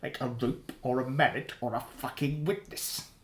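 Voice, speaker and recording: A man's voice: medium pitch, steady tone, moderate pace, close to an everyday voice. An actor playing a sardonic, menacing spy boss in a radio play, pompous, wordy and precise in his sarcasm. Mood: sarcastic. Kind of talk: radio drama acting. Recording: roomy, echoing sound.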